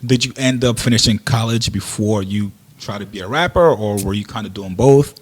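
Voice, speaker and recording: A man born in Nigeria hosting an interview, asking short relaxed questions. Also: fairly low pitch, brisk. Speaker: interview host.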